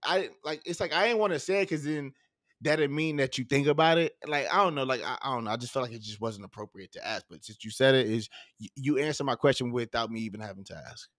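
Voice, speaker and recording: A man's voice: medium pitch, fast talker, fairly bright tone, dry recording. Man, 30s, podcast host, loose rambling talk, studio mic, dry sound. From southern United States. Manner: loose and rambling, many fillers and restarts. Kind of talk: casual interview chat. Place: home studio. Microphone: studio mic with headphones.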